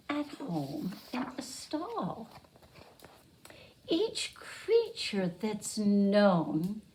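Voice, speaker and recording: A woman teacher reading a picture book aloud to young children, pausing to explain and repeat words simply. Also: mid-range voice, darkish tone, a little hiss or hum.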